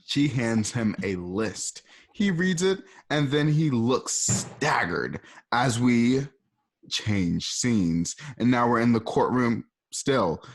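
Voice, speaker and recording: A man casually narrating the stage directions of an amateur radio play, chatty and animated. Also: mid-range voice, takes it slowly.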